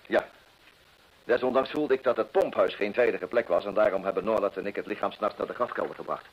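An actor playing a proud, cornered gentleman in a radio drama, formal and self-justifying as he defends his desperate deed. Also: lowish voice, fast, husky. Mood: grave, confessing. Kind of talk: Dutch radio drama acting. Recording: muffled vintage radio play recording.

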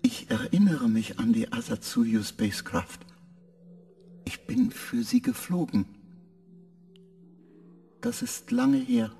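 A dazed machine mind, a male spacecraft AI played by a man in a radio play, drifting between short flat answers and eerie visions. His voice is dark and gravelly, swinging widely in pitch, slow and unhurried, with music faintly under it. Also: exaggerated voice. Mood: calm.

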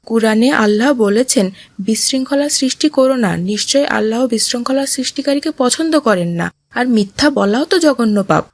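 A kind and pious young cartoon boy, voiced by a woman, high-pitched and recorded dry and close. He speaks earnestly, like a little moral guide in a children's religious story. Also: expressive, normal speed, no room sound. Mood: earnest, reproving. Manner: earnest, admonishing. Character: kind, pious boy who gently scolds and preaches. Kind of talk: Islamic moral cartoon voice acting.

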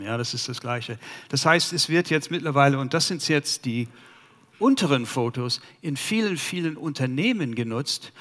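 American man speaking halting German. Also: mid-range voice, a bit bright. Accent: American English. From United States. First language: English. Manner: halting, searches for German words, many fillers. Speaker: conference speaker. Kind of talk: presenting to a conference audience. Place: large conference hall. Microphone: headset. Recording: long hall reverb.

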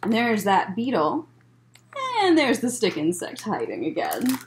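A woman in her thirties reading a picture book aloud as a children's librarian, in measured phrases with chatty asides. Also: mid-range voice, wildly swooping pitch, noisy background. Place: library room, indoors.

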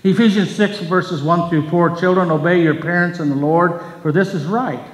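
Older man preaching in an echoey church. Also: high-pitched. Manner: earnest, measured preaching with scripture readings. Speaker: pastor. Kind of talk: sermon. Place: church sanctuary, at a pulpit. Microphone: pulpit microphone, far camera. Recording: echoey church hall from far off.

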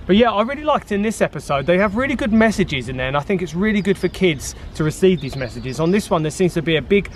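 A man's voice: sits high, sing-song, fast talker, few pauses. A British man in his thirties presenting a casual vlog in an upbeat, chatty way. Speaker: vlog host. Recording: faint urban background noise.

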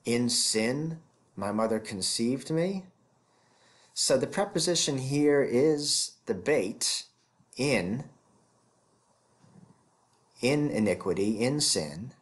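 A man in his fifties giving an explanatory theology lecture as a professor, speaking deliberately and stressing key words. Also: mid-range voice, lively intonation. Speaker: professor teaching scripture.

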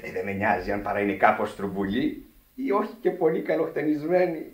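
An actor in a radio play playing a young, boastful, clownish working-class Italian suitor, excitable and wheedling, dropping in Italian words. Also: male voice, lowish voice. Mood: wooing. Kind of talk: radio theatre acting. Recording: echoey archive radio theatre recording.

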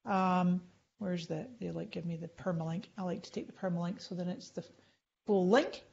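A woman's voice: on the low side, normal speed, vocal fry, darkish tone, highs cut off. Upbeat woman giving a live screen tutorial. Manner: upbeat, chatty, talks while clicking through screens. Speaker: social media marketing trainer.

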